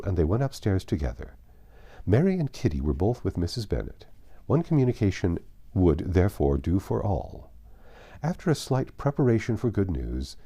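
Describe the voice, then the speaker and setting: A man's voice: lowish voice, warm tone. A man narrating a classic novel as an audiobook, reading from a script.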